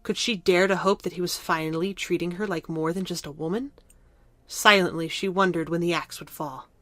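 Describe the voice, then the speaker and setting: A woman's voice: fairly low pitch, steady tone, normal speed, a bit bright, dry recording. A woman narrator reading scripted narration for a fantasy audio drama.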